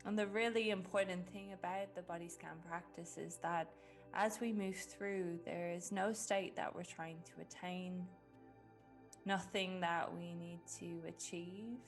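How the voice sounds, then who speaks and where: Gentle, low-voiced woman guiding meditation. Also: steady tone. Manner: gentle, unhurried, with long pauses. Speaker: meditation guide. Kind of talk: guided meditation narration. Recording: music behind the voice. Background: background music.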